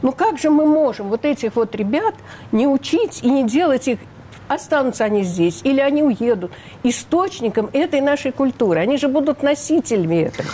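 Older female guest, mellow timbre, indignant and emphatic. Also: high-pitched, big pitch swings, fairly quick, slight background noise. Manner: emphatic, indignant, rhetorical questions. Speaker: interview guest, refugee rights advocate. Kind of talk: radio interview.

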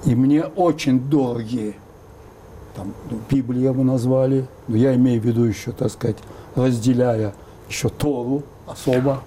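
An older man from Baku, raised speaking both Azerbaijani and Russian, thoughtfully recalling the past in an interview with many pauses and fillers. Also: medium pitch, steady tone, mellow, slight background noise. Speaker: interview guest. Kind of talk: reflective interview talk.

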